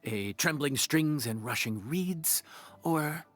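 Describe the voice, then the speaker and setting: A courteous, easily flustered waiter in a video game. His voice sits slightly high, and he talks hesitantly and politely. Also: lively intonation, slow, fairly bright tone, dry recording, heavily acted.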